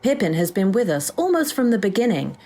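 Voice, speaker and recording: A woman playing a brisk, dry-witted AI assistant in a comic sci-fi audio drama. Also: medium pitch, very animated, dark tone. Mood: brisk, informative. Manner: crisp, dry, businesslike.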